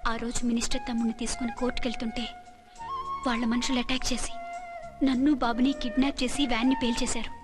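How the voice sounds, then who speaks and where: Actress as reunited wife, tender, earnest, high voice, brisk, expressive, film dialogue with music. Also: female voice, natural voice. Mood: emotional, earnest. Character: tender, long-lost wife reunited with her husband. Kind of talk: film drama acting.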